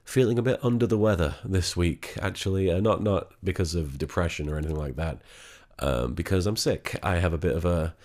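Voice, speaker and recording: A man talking quietly and haltingly in a candid vlog, sick, with a gravelly voice and many restarts. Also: deep voice. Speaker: vlogger.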